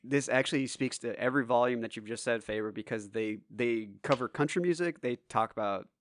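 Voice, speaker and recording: Slightly hoarse man, casual and rambling. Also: medium pitch, fairly quick, a little hiss or hum. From United States. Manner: loose and rambling, swears freely. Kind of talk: casual podcast chat.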